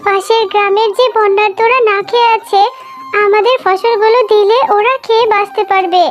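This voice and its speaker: A woman's voice: very high voice, fairly even intonation, moderate pace, lo-fi sound, over music. A woman voicing a caring, earnest young jackal wife in a cartoon. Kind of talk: cartoon dialogue.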